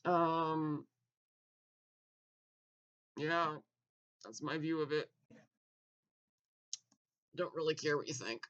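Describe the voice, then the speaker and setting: A man giving a blunt, argumentative opinion monologue, full of "like" fillers and restarts, clearing his throat now and then. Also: on the high side, extremely slow, pauses often, a bit bright, highs cut off. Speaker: opinion vlogger.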